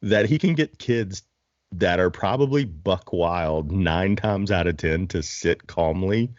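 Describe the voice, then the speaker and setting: A man's voice: sits low, slow pace, dry recording, highs cut off. A man chatting casually on a podcast, rambling and self-deprecating with jokes. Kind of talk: casual chat.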